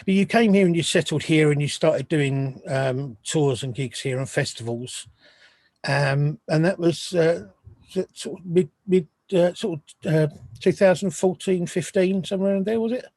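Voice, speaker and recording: A man's voice: fairly high pitch, moderate pace, a little mellow, dry recording. A man hosting a music podcast, chatting casually, with many restarts and apologetic asides. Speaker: podcast host. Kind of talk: casual interview chat.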